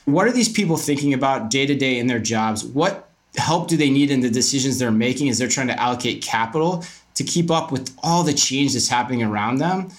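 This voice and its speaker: A man's voice: mid-range voice, expressive, fairly quick. A middle-aged man speaking as an interview guest, telling his story in a candid, emphatic, talkative way. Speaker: guest, retail media co-founder and commentator.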